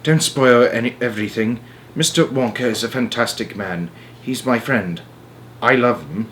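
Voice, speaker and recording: A man's voice: mid-range voice, moderate pace, a bit bright, slight background noise, natural voice. A man voicing an earnest, polite young boy in a storybook, sincere and questioning. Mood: earnest pleading.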